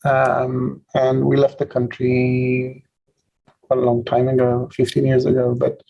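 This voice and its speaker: A man from Israel, a film director answering on a festival panel, slow and measured with frequent fillers. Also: mid-range voice, completely flat delivery, creaky. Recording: dull, muffled sound.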